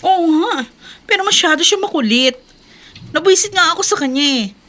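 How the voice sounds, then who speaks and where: Catty, scheming office gossip, high Filipino female voice for radio drama. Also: lively intonation, normal speed, a bit bright. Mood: annoyed, catty.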